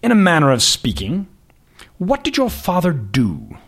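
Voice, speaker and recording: A man reading the part of a haughty, commanding magazine editor with an aristocratic accent, speaking slowly and clipped. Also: fairly high pitch, huge pitch swings, breathy edge, heavily acted. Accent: upper-class East Coast with British touch.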